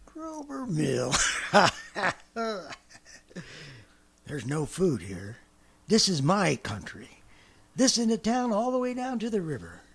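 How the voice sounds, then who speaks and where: Bitter, territorial soldier survivor: rough-voiced, agitated and very animated, blunt folksy radio-play style. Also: male voice, high-pitched, measured pace, heavily acted. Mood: suspicious, hostile. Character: paranoid, bitter, territorial militiaman survivor, a man. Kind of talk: 1930s-style radio drama acting.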